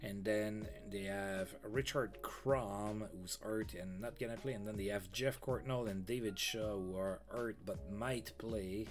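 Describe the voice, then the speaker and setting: Chatty low-voiced man streaming a game. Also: steady tone, measured pace, few pauses, over music. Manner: chatty, reads game news aloud with asides. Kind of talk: casual let's-play commentary.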